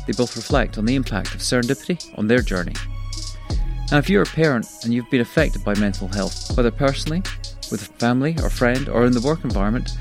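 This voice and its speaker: A man hosting a podcast, reading a measured introduction and then questioning his guests in a conversational way. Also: mid-range voice. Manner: measured, with restarts when speaking off the cuff. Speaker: podcast host. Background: faint music.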